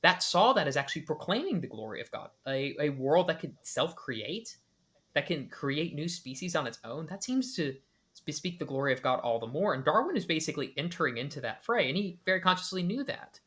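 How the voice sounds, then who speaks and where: Male podcast host reacting to a clip, bright voice, analytical, lots of restarts. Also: on the high side, very animated, fairly quick. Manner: analytical, with many restarts and hedged speculation. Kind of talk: reaction commentary.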